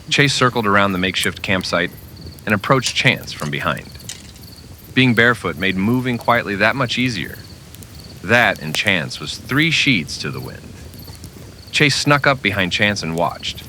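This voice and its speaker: A man's voice: fairly low pitch, pushed and bright, slight background noise. A man narrating a scripted old-time western radio drama with dramatic storytelling delivery.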